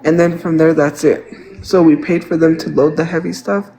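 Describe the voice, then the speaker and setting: Man, 30s, high-pitched, congested nose, rambling, venting, casual livestream talk. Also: little pitch movement, normal speed, vocal fry, warm tone, rough recording. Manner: rambling, venting, repeats himself.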